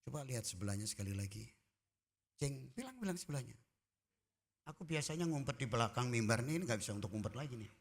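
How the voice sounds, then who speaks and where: A man preaching a sermon as pastor, folksy and joking with the congregation, swinging from quiet asides to loud shouted emphasis. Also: mid-range voice, sing-song, fast talker, vocal fry. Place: church.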